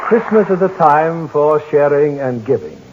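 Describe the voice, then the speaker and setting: A middle-aged man hosting a 1940s radio drama broadcast, courteous and measured in a formal manner. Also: a little high, lively intonation, narrow, tinny audio, crowd noise, noisy background. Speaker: radio drama host and producer.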